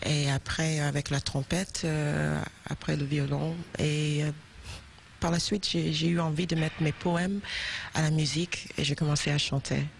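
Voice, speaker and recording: A woman's voice: deep voice, flat delivery, measured pace, gravelly, a little hiss or hum. A woman from South Carolina with an American accent, answering interview questions in French. She is thoughtful and hesitant, with many fillers and restarts. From South Carolina, USA. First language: English. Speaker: singer, interview guest.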